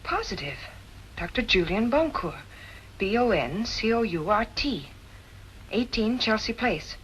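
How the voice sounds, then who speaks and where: A woman's voice: a little high, sing-song, measured pace, fairly bright tone, poor audio. An actress playing a sly female crook who poses as a shop girl in an old detective drama. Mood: sly, conspiring. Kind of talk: old-time detective film acting. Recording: old soundtrack with background noise.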